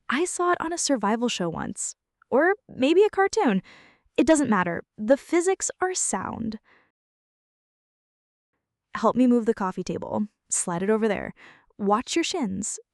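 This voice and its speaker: A woman's voice: fairly high pitch, very animated, unhurried, darkish tone, dry recording, studio quality. A woman playing a flirty, playfully bossy friend in an intimate, scripted ASMR roleplay, speaking straight to the listener with mock-dramatic exaggeration. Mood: playfully insistent.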